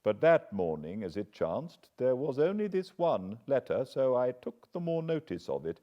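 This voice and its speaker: Brief: man playing a respectful middle-aged British butler, earnest, lively pitch, bit muffled. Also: close to an everyday voice. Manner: respectful, measured, apologetic.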